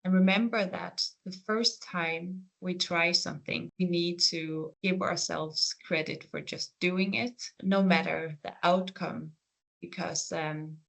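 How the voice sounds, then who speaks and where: A speaker from the Faroe Islands whose first language is Faroese, with a Faroese accent, answering career interview questions in an earnest, reflective, gently encouraging way. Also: mid-range voice, fairly even intonation, slow, gravelly, poor audio. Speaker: programme coordinator and PhD researcher.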